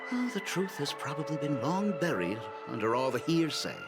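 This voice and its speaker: A sardonic security worker from a video game, wry and knowing, with formal airs. His pitch moves in lively sweeps over clear background music. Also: normal speed. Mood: conspiratorial.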